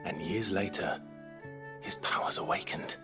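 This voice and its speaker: Brooding British male fantasy hero, very low register, loyal and understated, over score. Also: measured pace. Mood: reflective. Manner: earnest, restrained. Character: brooding, loyal male warrior leader, earnest and restrained. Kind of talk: dramatic video game acting. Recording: music behind the voice.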